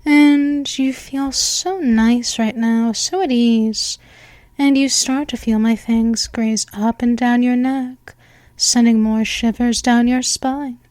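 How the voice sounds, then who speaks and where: Woman doing a seductive vampire mistress, soft and hypnotic, real slow, close dry mic. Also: on the high side, fairly even intonation, no echo. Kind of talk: hypnosis roleplay audio.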